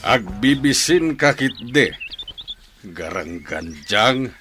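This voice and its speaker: A man playing a stern, disapproving middle-aged father in a radio soap drama, with a husky voice. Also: lowish voice, big pitch swings, drawn-out words, bright, forceful tone, faint music behind, heavily acted. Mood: angry scolding.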